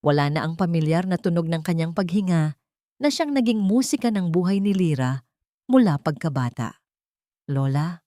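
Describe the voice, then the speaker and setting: A Filipino woman narrates a dramatic story from a script. Her voice sits slightly low for a woman, and the sound is dry and close, with little room in it. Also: animated, moderate pace, warm tone, no echo.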